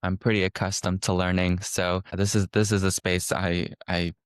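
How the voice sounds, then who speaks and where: Low-voiced young man giving a casual webinar. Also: moderate pace, smooth, dry recording, studio quality. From California. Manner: relaxed, reflective, with frequent restarts and fillers. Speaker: social work student presenter.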